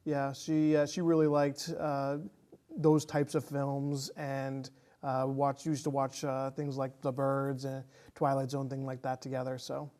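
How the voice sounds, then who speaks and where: A man's voice: mid-range voice, monotone, measured pace, darkish tone. A man speaking as a podcast guest, chatting casually about films.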